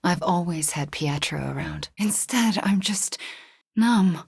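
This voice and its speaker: A woman's voice: lowish voice, expressive, slow, vocal fry, husky, no echo, heavily acted. An actress playing a young sorceress superheroine in a video game, earnest and introspective, with self-doubt and dry wit beneath her power. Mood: quiet, melancholy.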